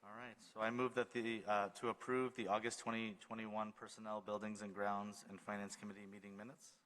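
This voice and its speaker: A man acting as committee chair, running through meeting procedure in a brisk manner. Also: lowish voice, flat delivery, fairly bright tone. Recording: reverberant room with faint noise.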